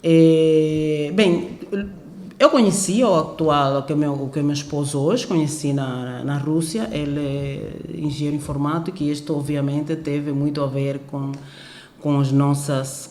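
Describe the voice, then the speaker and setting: Cuban woman in her sixties, mellow. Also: deep voice, fairly even intonation, a little slow, roomy sound, slight background noise. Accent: Cuban Spanish. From Cuba. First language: Spanish. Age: 60s. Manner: hesitant, many fillers and restarts. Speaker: guest. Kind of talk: podcast interview, recalling her career.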